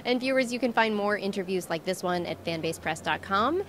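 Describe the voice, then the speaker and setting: A woman's voice: mid-range voice, animated, brisk, crowd noise. A woman in her thirties interviewing in a gracious, articulate and emphatic way, on a handheld microphone. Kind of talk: polished convention interview. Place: small plywood booth at a convention.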